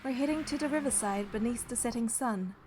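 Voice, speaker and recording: A woman's voice: on the high side, a little fast, background noise, natural voice. A woman voicing a young romance game heroine, narrating and speaking in a composed, scripted way. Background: music.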